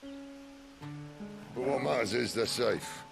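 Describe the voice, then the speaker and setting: A man voicing a wry, world-weary middle-aged outlaw leader in a video game, with a deep gravelly voice and a dry, sardonic manner. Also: huge pitch swings, drawn-out words, over music, exaggerated voice. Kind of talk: dramatic video game voice acting.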